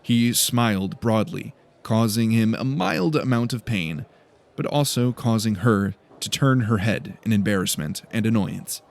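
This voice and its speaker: A man's voice: fairly low pitch, unhurried, vocal fry. A man reading scripted story narration as the narrator of an audiobook.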